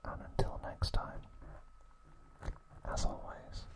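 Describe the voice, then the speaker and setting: A man hosting ASMR, narrating in a soft, breathy whisper with gentle, deliberate phrasing. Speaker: ASMR channel host.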